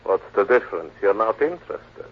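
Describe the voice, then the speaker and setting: A cool, calculating villain in a forties radio crime play. The voice is low and husky, the speech curt and formal, the recording old and dull. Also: male voice, sing-song, fairly quick. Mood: cool, menacing. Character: cool, calculating gentleman who hires killers. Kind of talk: 1940s radio drama acting.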